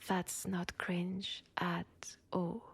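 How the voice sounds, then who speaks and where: A woman with a French accent playing an ambitious, protective cyberpunk cop in an intimate sci-fi audio roleplay, with soft, breathy delivery. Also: lowish voice, monotone, extremely slow, full character voice. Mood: teasing. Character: ambitious, protective female cyberpunk cop, senior partner to a rookie. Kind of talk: intimate sci-fi roleplay acting, one side of a dialogue.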